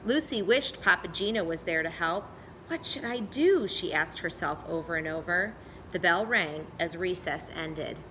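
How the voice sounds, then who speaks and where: Bright-voiced woman reading a children's story. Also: lowish voice, moderate pace, a little hiss or hum, low-quality recording. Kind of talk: expressive children's story read-aloud.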